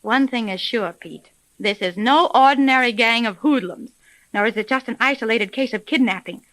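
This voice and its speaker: Woman playing confident lady detective, brisk and bright, kinda high, 1930s radio acting. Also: no room sound, natural voice, low-quality recording. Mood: confident, analytical. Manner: brisk, self-assured. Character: shrewd, confident female private detective.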